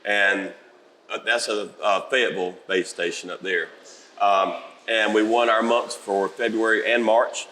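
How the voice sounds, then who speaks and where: A middle-aged man from South Carolina, a country musician with a raspy voice, chatting easily and humbly in a festival interview. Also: lowish voice, steady tone, measured pace, bright, forceful tone, big-room sound, a little hiss or hum, low-quality recording.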